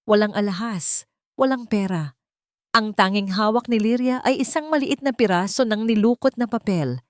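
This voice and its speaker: A woman's voice: mid-range voice, moderate pace, no echo. A woman narrating a dramatic scripted love story as an audiobook.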